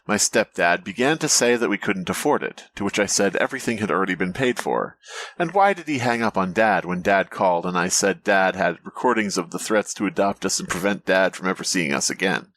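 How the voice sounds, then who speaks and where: A man's voice: deep voice, animated, a little fast, fairly bright tone. A man co-hosting a comedy podcast, bantering casually and joking his way through mock bits and read-out stories. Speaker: podcast co-host.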